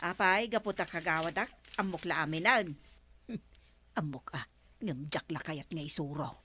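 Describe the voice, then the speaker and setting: A woman's voice: deep voice, measured pace, pushed and bright, slight background noise. A woman acting a feisty, talkative village councilwoman with an Ilocano accent, exclaiming and bickering in a broadcast comedy drama. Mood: chatty.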